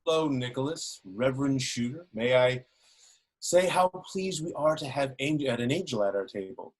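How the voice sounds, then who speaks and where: A man's voice: medium pitch, lively intonation, moderate pace, breathy edge. Male actor as village dignitary. Pompous, genial, formal, middle register. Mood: jovial. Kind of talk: film script table read.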